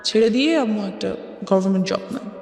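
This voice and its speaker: A woman's voice: mid-range voice, quick pace, a little mellow. A woman with a Bangladeshi accent telling her own life story in an interview, mixing English phrases into her speech. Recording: echoey reverberant sound with music behind. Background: music.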